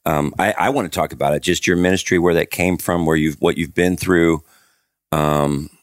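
A man's voice: deep voice, fairly even intonation, moderate pace, dry recording, studio quality. A man hosting a casual interview, joking and teasing, with hesitant, rambling questions. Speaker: podcast host.

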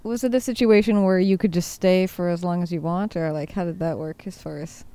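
A woman's voice: on the low side, steady tone, fairly quick, mellow, clear, resonant tone. A woman hosting an interview, prompting her guest with brief, friendly questions and light laughter. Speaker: podcast host.

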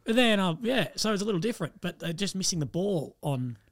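A man's voice: high-pitched, lively intonation, a little fast. A man co-hosting a podcast in easygoing, joking chat. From Australia. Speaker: podcast co-host. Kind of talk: casual chat.

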